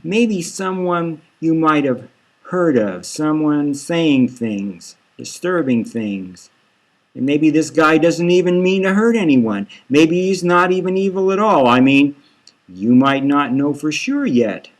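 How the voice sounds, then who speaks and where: Man playing guileful, coaxing female investigator, slow-paced. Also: fairly high pitch, lively intonation, pitched above the performer's own voice. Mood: gently probing. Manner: coaxing, soothing, leading questions. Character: guileful female crime fighter coaxing a suspect kindly. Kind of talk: dark satirical audio novel dialogue.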